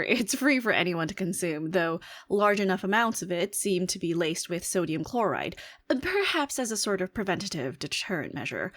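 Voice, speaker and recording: A woman's voice: medium pitch, lightly acted. A woman playing an alien head scientist in a scripted audio roleplay, briefing her commander in a formal, earnest, analytical and emphatic way. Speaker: voice performer. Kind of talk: scripted fictional ASMR roleplay monologue.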